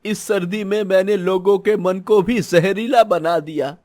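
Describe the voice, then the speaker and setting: A man's voice: sits high, measured pace, darkish tone. A man playing an emphatic, melodramatic vegetable seller in a moral-story cartoon. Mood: pleading. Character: scheming, jealous male vegetable seller, later remorseful.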